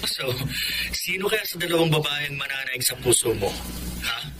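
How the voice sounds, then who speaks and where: A man's voice: fairly high pitch, expressive, normal speed, noisy background, close to an everyday voice. An actor playing a teasing, gossipy male friend in a Filipino radio drama, with a playful way of talking.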